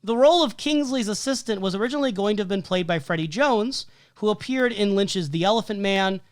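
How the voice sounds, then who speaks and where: A man talks through his prepared notes in a steady, methodical way, often pausing with an "um" or a "like". His voice is wearing thin and going hoarse. The recording is dry and close, with almost no room sound. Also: high voice, dry recording. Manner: methodical, listing details with frequent "um" and "like". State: losing his voice. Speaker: podcast co-host. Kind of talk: reading prepared film analysis notes.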